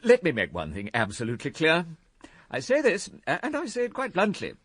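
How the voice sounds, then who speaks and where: A man's voice: on the high side, wildly swooping pitch, brisk, a bit bright, no room sound, full character voice. A British man playing an evasive, pompous government minister in a radio drama, stumbling as he blusters. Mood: pompous, flustered. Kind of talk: BBC radio drama acting.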